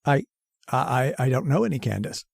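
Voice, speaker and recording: A man's voice: mid-range voice, very animated, moderate pace, gravelly, breathy edge, dry recording, clean studio sound, exaggerated voice. An actor playing a nervous, haunted man who narrates his story in an old-time radio horror drama, jittery and hesitant. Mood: flustered, alarmed. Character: nervous, haunted, centuries-old male professor confessing a murder plan.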